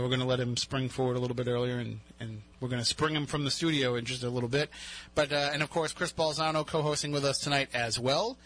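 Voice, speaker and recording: A man's voice: on the high side, a bit bright, poor audio. A man hosting a talk radio show, chatty and jokey with lots of fillers. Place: radio studio.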